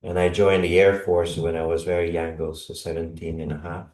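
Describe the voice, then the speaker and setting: A man's voice: sits low, little pitch movement, a little fast, darkish tone. A Brazilian-born man chatting casually with a congregation before his sermon, laughing often and tagging "you know" and "right?" onto his sentences. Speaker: visiting preacher. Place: church hall. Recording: echoey hall, mic from a distance.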